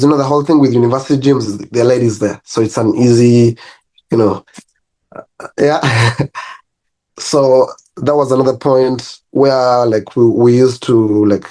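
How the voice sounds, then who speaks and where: Ugandan man telling relaxed stories. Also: medium pitch. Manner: relaxed storytelling with laughs and restarts. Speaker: guest, personal trainer. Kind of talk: casual interview.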